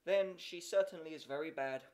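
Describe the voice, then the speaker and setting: A man voicing a spiteful, greedy old washerwoman who answers curtly, in a voice pitched above his own. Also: moderate pace, darkish tone, close to an everyday voice.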